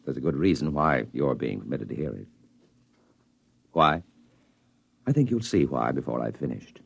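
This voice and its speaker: An actor in a 1950s radio drama playing a grave man confiding a secret to a professor in a measured voice. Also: very deep voice, vocal fry, dry recording, heavily acted.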